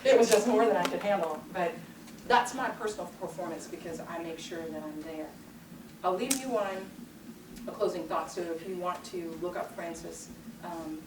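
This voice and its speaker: A middle-aged woman giving a motivational keynote and answering questions in a confident, emphatic, rhythmic way. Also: on the low side. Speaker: keynote speaker. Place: large conference hall. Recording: roomy hall sound, distant mic, noisy.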